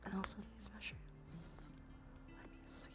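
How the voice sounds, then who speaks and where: A woman's voice: medium pitch, steady tone, unhurried. A woman livestreamer giving whispered ASMR commentary on a game, murmuring as she thinks aloud. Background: music.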